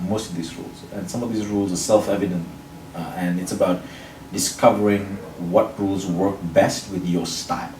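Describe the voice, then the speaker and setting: Sri lankan guy explaining public speaking, pretty low voice, lists stuff out, echoey room w/ faint hum. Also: a little mellow. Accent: neutral international English, faint Sri Lankan. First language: Sinhala or another Sri Lankan language. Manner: measured, explanatory, builds points in lists. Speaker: public speaking champion, interview guest. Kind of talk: interview answers. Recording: roomy echo, mic at a distance, faint noise.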